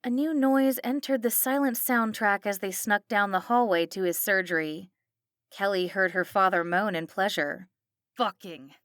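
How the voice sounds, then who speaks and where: Female audiobook narrator, bright forceful tone, medium pitch, dramatic scripted reading, very dry close sound. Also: fairly even intonation, normal speed, dry recording.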